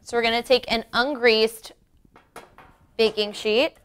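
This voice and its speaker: A woman's voice: a little high, fairly even intonation, a little slow, a bit bright, smooth. A young woman presenting a cooking lesson in a conversational way, explaining each step directly to the viewer. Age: young adult. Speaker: cooking show host. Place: home-style kitchen, indoors.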